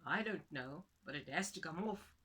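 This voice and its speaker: A woman's voice: sits low, lively intonation, moderate pace, pushed and bright, slightly rough, voice pushed down, heavily acted. A woman voicing a grumpy, scolding village husband, blunt and emphatic, as a storybook character. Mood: bothered.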